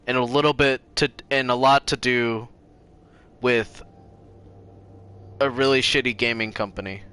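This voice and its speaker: A man's voice: medium pitch, fairly even intonation, measured pace, bright, forceful tone, smooth. A man chatting casually and a bit ramblingly to viewers while livestreaming a video game. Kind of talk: casual chat to stream viewers.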